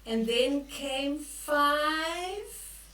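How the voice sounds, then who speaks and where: An older woman reading a bedtime story aloud to young children, expressively, with drawn-out emphasis and questions for the listeners. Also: high voice, lively intonation, pushed and bright. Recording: echoey room, distant from the mic.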